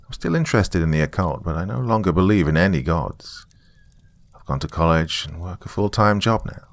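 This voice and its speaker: Deep gravelly man narrating stories. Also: lively intonation, a little fast, no room sound. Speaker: horror story narrator and podcast host. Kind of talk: dramatic first-person story narration and casual sign-off.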